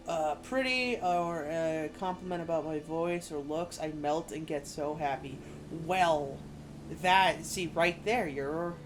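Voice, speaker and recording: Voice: mid-range voice, rough recording. A young adult game streamer with a youthful-sounding voice, chatting casually in a rambling, frank, emphatic way and swearing freely. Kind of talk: casual chat while gaming. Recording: game music behind the voice. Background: video game music.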